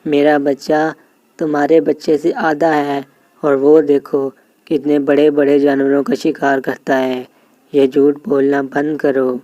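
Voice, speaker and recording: Woman putting on a low, mocking male lion. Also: flat delivery, measured pace, mellow, no room sound, highs cut off. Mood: scornful. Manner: taunting, scornful.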